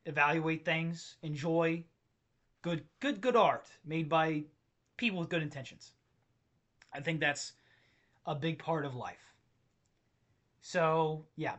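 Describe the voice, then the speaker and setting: A man hosting a solo comedy podcast and ranting in a rambling, excitable way that keeps interrupting itself. His voice is tired and strained. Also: a little high. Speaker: podcast host.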